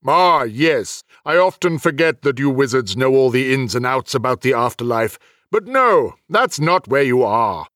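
A man's voice: medium pitch, very animated, dry recording, clean studio sound. Man as a lilting, courteous otherworldly host. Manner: courteous, measured, gently apologetic. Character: polite, genial male otherworldly host in human guise.

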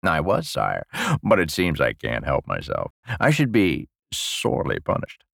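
A man's voice: extremely low pitch, huge pitch swings, a little slow, gravelly, a bit bright, no echo, studio quality, voice pushed down, exaggerated voice. A man voicing a naughty old prisoner in a comic audiobook, obsequious, sly and suggestive. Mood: fawning. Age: older.

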